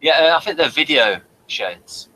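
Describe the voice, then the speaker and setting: A man hosting a casual episode review, speaking in a halting, hesitant way. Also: fairly high pitch, lively intonation, sharp tone, low-quality recording. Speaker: review host.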